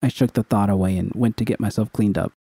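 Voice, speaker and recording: A man's voice: on the low side, quick pace, vocal fry, warm tone, breathy edge, no room sound. A man reading dramatic first-person fiction as an audiobook narrator.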